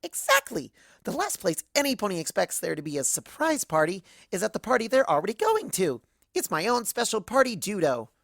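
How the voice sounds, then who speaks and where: A woman voicing an excitable, silly young female cartoon pony with chirpy, gushing delivery. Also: mid-range voice, brisk, creaky, dry recording, studio quality, heavily acted. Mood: exuberant. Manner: chirpy, gushing, childlike phrasing. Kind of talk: first-person cartoon fan fiction narration.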